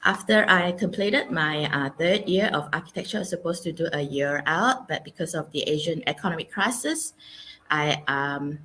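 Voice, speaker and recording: Low-voiced woman from Malaysia, hesitant. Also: lively intonation, moderate pace, pushed and bright, rough recording. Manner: hesitant, many ums and uhs. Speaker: guest. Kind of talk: casual interview storytelling. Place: Melbourne.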